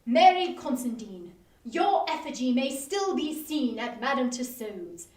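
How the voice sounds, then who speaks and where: Victorian husband, played by a woman: jovial, theatrical, teasing gentleman; lively swings in pitch, distant mic. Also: measured pace. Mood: mock tragic. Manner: theatrical, old-fashioned gentlemanly speech. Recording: roomy, distant-mic live online reading.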